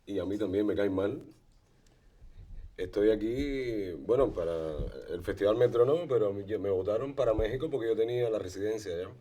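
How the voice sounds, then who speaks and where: A man's voice: on the low side, moderate pace, warm tone. Outspoken older Cuban man. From Cuba. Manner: emphatic, outspoken. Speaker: podcast guest. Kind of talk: casual conversation.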